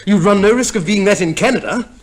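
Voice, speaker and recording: An actor playing a vain, pompous, cheerful husband back from war in a 1950s radio comedy, speaking with theatrical mock courtesy. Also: male voice, sits high, fast talker, lightly acted. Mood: grandly persuasive. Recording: noisy vintage radio play recording.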